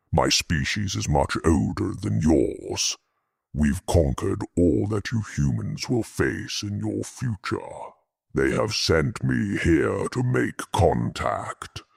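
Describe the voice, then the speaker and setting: A man voicing a solemn alien jellyfish being, speaking in a slow, deep, gravelly, formal way. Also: very animated, no room sound, studio quality, full character voice. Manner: stately, formal pronouncements.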